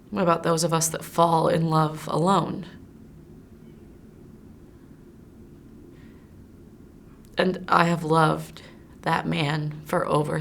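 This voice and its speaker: A woman's voice: sits low, gravelly, slight background noise. A woman performing a dramatic monologue as a heartbroken, earnest woman pining over unrequited love. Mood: bitter, aching. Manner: earnest, wistful, confiding.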